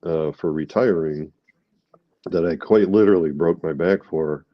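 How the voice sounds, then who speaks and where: A man's voice: sits low, unhurried, no echo, lo-fi sound. A middle-aged man, an army veteran, congested from a cold, speaking candidly in an interview with many fillers and restarts.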